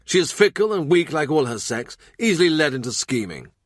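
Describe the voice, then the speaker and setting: A man's voice: a little high, sing-song, brisk, no room sound, clean studio sound, pitched above the performer's own voice. Guy doing a hearty sentimental king for an audiobook, voice up a bit, emphatic, fond of the old days. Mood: indignant.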